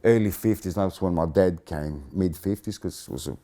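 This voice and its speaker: Low, mellow Greek-accented man reminiscing. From Greece. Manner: reminiscing, halting with restarts. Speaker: interviewee, blues musician. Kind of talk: casual interview.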